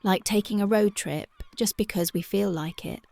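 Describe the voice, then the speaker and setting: A woman voicing a tender, dreamy young punk girlfriend in an ASMR roleplay, speaking softly and often slipping into whispers. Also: mid-range voice, normal speed, creaky, no room sound, close to an everyday voice. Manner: soft, often drifting into whispers. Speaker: ASMR voice actress.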